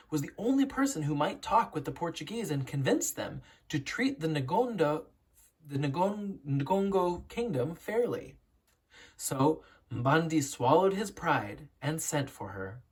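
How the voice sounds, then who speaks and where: Expressive, slightly husky picture book reader. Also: medium pitch. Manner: storytelling, deliberate emphasis, instructive. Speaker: children's book reader and educator.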